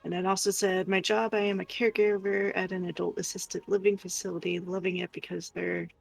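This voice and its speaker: A woman's voice: lowish voice, level tone, brisk, creaky, soft background music, poor audio. A woman co-hosting a casual chat, reading out messages and commenting in a frank, matter-of-fact way.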